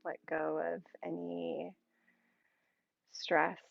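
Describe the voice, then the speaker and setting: Woman, yoga instructor, soothing meditation cues, lowish gravelly voice with vocal fry, long pauses, muffled recording. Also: no echo. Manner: calm, soothing, with long pauses between short phrases. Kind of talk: guided meditation in a yin yoga class.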